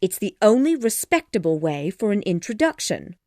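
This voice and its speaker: Storybook role, played by a woman: nagging middle-aged mother, flustered and dramatic, voice swooping high, recorded dry. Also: big pitch swings, moderate pace, dark tone, no room sound, natural voice. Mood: coaxing, exasperated. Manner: exclamatory, impatient, dramatic. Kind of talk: storybook dialogue acting.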